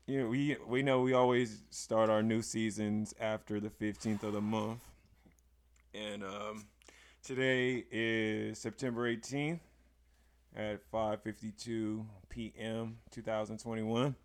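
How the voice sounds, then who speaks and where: A man with a hoarse voice hosting a casual podcast chat, emphatic and excitable. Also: fairly low pitch, takes it slowly. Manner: hype, emphatic, bursts into loud exclamations. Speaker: podcast host.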